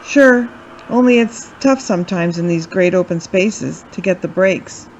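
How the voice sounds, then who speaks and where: A woman's voice: fairly low pitch, measured pace, lo-fi sound, slight background noise. Woman acting a breezy, slangy girl hitchhiker. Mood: casual, puzzled. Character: chatty, slangy, easygoing female hitchhiker. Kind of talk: suspense radio play acting in period American slang.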